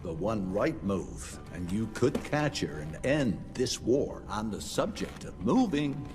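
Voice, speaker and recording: A man's voice: lowish voice, big pitch swings, slow pace. A man playing an avuncular, grandiloquent older institute director in a video game cutscene. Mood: grave, resolute.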